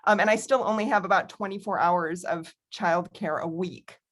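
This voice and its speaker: A woman's voice: on the low side, moderate pace. A middle-aged American woman, an academic author, giving a prepared talk on a video call with candid, conversational asides.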